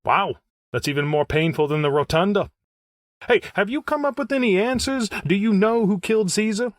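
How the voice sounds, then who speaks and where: A man's voice: high voice, lively intonation, moderate pace, no room sound. A man playing a defiant, scheming Roman murderer with brash gangster patter in an old-time radio style. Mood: feigned innocence.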